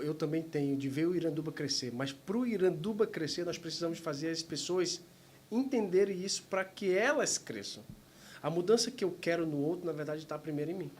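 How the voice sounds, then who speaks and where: A man from Manaus in Brazil hosting a podcast, speaking in long, measured, motivational sentences. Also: on the high side, slight background noise. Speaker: podcast host. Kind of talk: interview hosting, reflective monologue.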